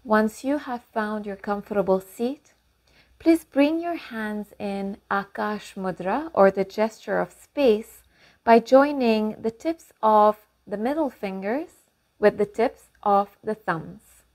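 Woman, meditation guide, slow pace, mid-range pitch, calm instruction, long pauses, affirmations.

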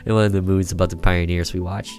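A man's voice: low-pitched, steady tone, fast talker, darkish tone. A young man reading a horror visual novel aloud as a let's player, with theatrical storytelling. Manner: theatrical storytelling with side comments. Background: game music with guitar.

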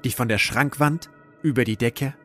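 A man narrating a scripted audio drama in a measured, literary storytelling manner. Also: mid-range voice, fairly bright tone, soft background music.

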